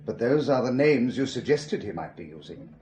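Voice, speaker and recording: An actor playing a suave, probing German police inspector in a radio drama, formal and precise, with a German accent. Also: male voice, medium pitch, sing-song, moderate pace, darkish tone, roomy sound, a little hiss or hum, lightly acted. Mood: probing, cool. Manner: formal, precise, elaborately polite.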